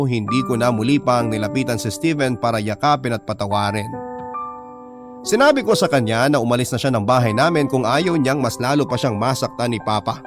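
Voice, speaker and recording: A man with a Filipino accent narrating a personal drama story in the first person, as a storyteller reading a letter. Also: mid-range voice. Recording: music bed under the voice. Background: prominent background music.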